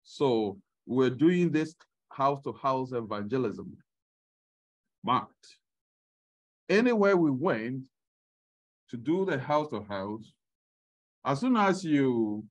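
A man's voice: medium pitch, highs cut off. A middle-aged man preaching a sermon to a congregation in a church meeting room, asking them questions as he goes, heard from a camera at the back. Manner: unhurried, asks the congregation questions. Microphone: far camera at the back of the room.

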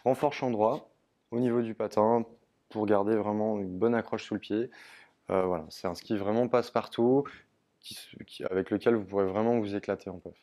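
A young man, a ski sales advisor, presents a product range in a steady, informative way with frequent hesitation fillers. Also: on the low side, moderate pace. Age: young adult. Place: indoor shop studio in front of a ski wall.

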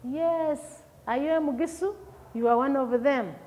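A middle-aged Ugandan woman teaching a lesson to pupils, explaining slowly, asking them questions and repeating key words. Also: high-pitched, warm tone, long reverb tail. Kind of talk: recorded classroom lesson for pupils. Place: classroom with projector screen.

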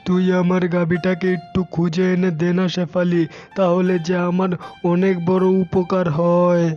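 A man plays a pleading, injured uncle tiger from a fairy-tale cartoon, keeping to a narrow, even pitch. The sound is dull, with faint music behind. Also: moderate pace, lightly acted. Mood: pained, pleading.

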